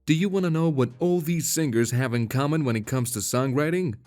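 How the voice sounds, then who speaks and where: A man's voice: fairly high pitch, brisk, music in the background. A man narrating a scripted voice-over and explaining his points. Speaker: video narrator.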